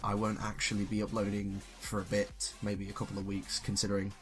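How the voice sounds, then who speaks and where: A man's voice: lowish voice, monotone, fairly quick, soft background music. A young man reading a story aloud and talking casually as a comic YouTuber. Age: young adult. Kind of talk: scripted story narration and casual comic commentary.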